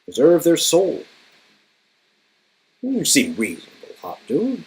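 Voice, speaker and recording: A man's voice: fairly high pitch, huge pitch swings, slow, darkish tone, slightly rough, pitched above the performer's own voice, exaggerated voice, rough recording. A man playing a grandiose, smug, absent-minded male inventor villain with a theatrical, stammering delivery in a dramatic reading of game dialogue. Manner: theatrical, stammering, self-important.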